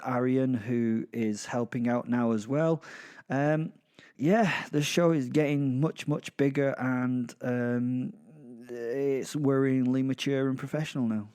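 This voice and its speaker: A man hosting and narrating an audio drama podcast in an informal, halting, self-deprecating way. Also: medium pitch, steady tone, mellow, smooth. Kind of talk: first-person audio drama storytelling and informal address.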